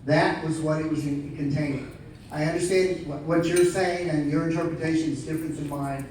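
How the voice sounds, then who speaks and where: Higher-voiced man chairing in an echoey room. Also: fairly even intonation, brisk, a little mellow. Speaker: meeting chairman. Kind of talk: informal spoken moderation of a formal meeting. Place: large indoor meeting room. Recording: very echoey room, distant, faint noise.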